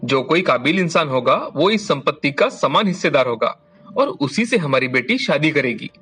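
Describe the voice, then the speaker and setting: A man voicing a wealthy, earnest merchant father in a formal, deliberate way for an animated moral story. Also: on the high side, husky, faint music behind, full character voice. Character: wealthy merchant father, earnest and concerned about his daughter.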